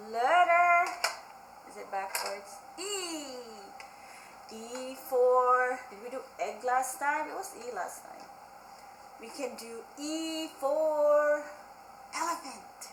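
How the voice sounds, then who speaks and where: A woman hosting a children's storytime, talking simply and expressively to young children as she reads and explains crafts. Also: fairly high pitch. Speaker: children's library storytime host. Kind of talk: children's read-aloud and craft instruction. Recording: roomy echo, clear background noise.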